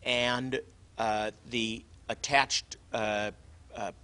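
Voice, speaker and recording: A man with a bright, forward voice of average pitch hosts a religious teaching program. He explains things conversationally, often stopping to repeat a word before carrying on. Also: steady tone, extremely slow, clear, resonant tone. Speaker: host of a religious teaching show.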